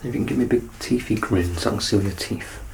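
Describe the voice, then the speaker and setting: A soft-spoken ASMR performer playing a clinician in an exam roleplay, gently reassuring and instructing in a hushed voice that often drops to a whisper. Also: mid-range voice, fast talker, vocal fry, slight background noise.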